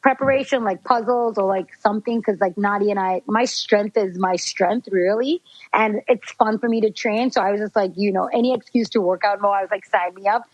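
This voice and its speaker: A woman's voice: medium pitch, brisk, no echo, dull audio. Sri Lankan-accented woman, dry and chatty. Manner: chatty, laughs often, peppered with "like". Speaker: guest. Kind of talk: casual interview.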